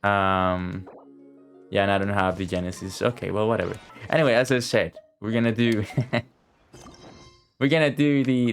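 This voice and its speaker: A man giving casual live game commentary as a streamer, informal and rambling, with playful asides. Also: lowish voice. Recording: game music behind the voice.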